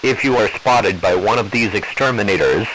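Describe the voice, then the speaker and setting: A man reading a formal emergency alert in a measured, impersonal, official way. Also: lowish voice. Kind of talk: formal scripted emergency broadcast. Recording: faint radio hiss.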